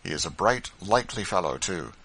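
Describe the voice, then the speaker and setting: A kindly Quaker father from a storybook, voiced by a man. He is earnest and assured, with a bright, animated delivery and old-fashioned wording. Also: deep voice, brisk, husky, exaggerated voice. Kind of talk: audiobook character voice, storybook dialogue.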